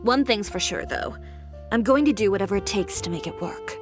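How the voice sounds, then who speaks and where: Woman playing a proud young fashion designer, composed, dry, determined, mid pitch, game music. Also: animated, fairly quick, natural voice. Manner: composed, dry, firm and self-assured. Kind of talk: video game voice acting.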